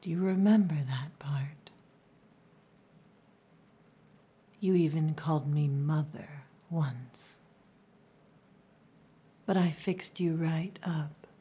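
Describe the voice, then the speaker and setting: Woman playing a slow, low, whispering possessive orc woman. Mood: tender, firm. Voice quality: breathy, hushed, often whispering. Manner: slow, deliberate, dominant, teasing. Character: possessive, gruff yet tender orc chieftain's daughter. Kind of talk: ASMR roleplay, whispered and softly spoken.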